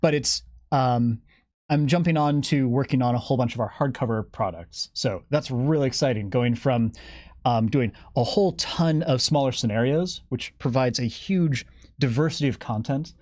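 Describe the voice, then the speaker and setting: A man's voice: mid-range voice, normal speed, a little mellow, no echo, clean studio sound. A man speaking as an interview guest, explaining his work as a game developer in a casual, enthusiastic way.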